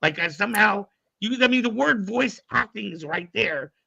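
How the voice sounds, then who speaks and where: Podcast host guy, gravelly and bright, kinda dull lo-fi sound. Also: high voice, animated, normal speed, a crowd in the background. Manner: eager, rambling questions with fillers. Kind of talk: casual interview chat.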